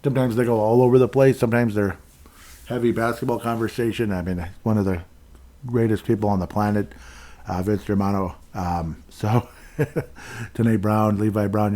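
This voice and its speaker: A man's voice: lowish voice, fairly even intonation, moderate pace, dark tone. Rambling, friendly male podcast host. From Minnesota. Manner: rambling, friendly, thanks listeners by name. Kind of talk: casual solo podcast chat.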